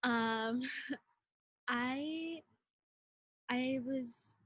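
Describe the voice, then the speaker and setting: A woman's voice: high voice, drawn-out words, a bit bright, no echo. A woman in her twenties from India, introducing herself as a delegation co-leader in an earnest, conversational webinar talk with frequent fillers.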